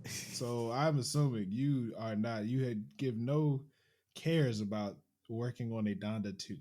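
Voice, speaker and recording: Male host, easygoing, quick to laugh, steers casual talk. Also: mid-range voice, dark tone, slight background noise. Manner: laid-back, laughs often. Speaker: podcast host. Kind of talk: casual chat.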